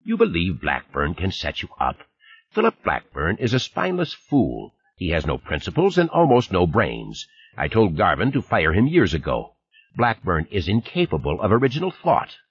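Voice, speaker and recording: An irritable old man, as a male narrator acts him out, husky and theatrical, his pitch swinging widely as he taunts. His phrasing is formal and his irony is heavy, in the manner of an audiobook character. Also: wildly swooping pitch, normal speed, muffled recording, heavily acted. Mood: scornful. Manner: ironic, taunting, rhetorical questions, formal unidiomatic phrasing.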